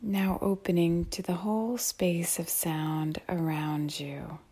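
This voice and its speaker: A woman leading a guided mindfulness meditation in a soft, calm, measured manner. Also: on the low side, slightly rough. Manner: soft, calm, measured instruction with long phrases and pauses.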